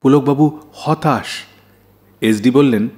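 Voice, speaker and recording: A man's voice: medium pitch, slow pace, darkish tone. A man hosting a radio show, telling scripted anecdotes in a dramatised, storytelling manner. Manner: dramatised anecdote telling, acting out quoted dialogue.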